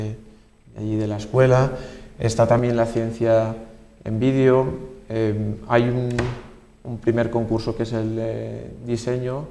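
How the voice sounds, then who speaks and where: Man, Spain accent, conversational interviewee, explanatory and halting, little pitch movement, reverberant hall. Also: a little mellow. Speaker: university research deputy director, interviewee.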